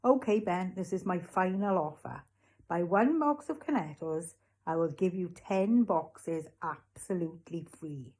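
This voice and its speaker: A woman voicing an eccentric, eager male newsagent in a storybook, deliberate and full of salesman's enthusiasm. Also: lowish voice, expressive, darkish tone. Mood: cheerfully persuasive. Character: eccentric, eager male newsagent pushing odd special offers. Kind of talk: storybook character voice.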